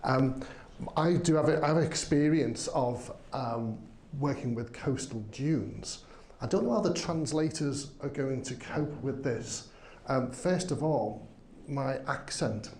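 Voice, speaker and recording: Liverpool-accented male speaker, mellow tone, keen and chatty with fillers, roomy hall. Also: medium pitch, slow, a little hiss or hum. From England. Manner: enthusiastic, filler-heavy, says um often. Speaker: conference speaker. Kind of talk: conference talk. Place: conference hall.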